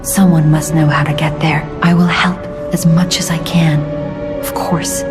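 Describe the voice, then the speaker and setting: An actress playing a gentle, solemn female guide in a video game, speaking gravely and sometimes in a hush. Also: deep voice, flat delivery, loud music behind the voice. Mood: solemn, pleading. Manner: grave, measured, hushed at times. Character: solemn, gentle guiding woman who bears witness. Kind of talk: dramatic video game cutscene dialogue.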